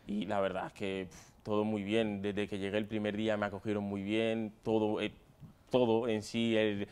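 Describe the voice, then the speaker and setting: Smooth, low-voiced young Madrid man. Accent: Madrid Spanish. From Spain, Madrid area. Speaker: footballer being interviewed. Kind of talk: casual interview answers. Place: stadium dugout with plastic seats.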